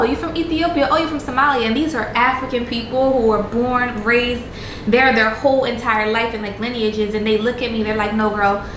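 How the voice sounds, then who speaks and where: A young woman chatting casually with her audience while cooking in a home kitchen, animated and slangy. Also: a little high, background noise. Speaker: vlogger. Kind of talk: casual chat. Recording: roomy kitchen with music.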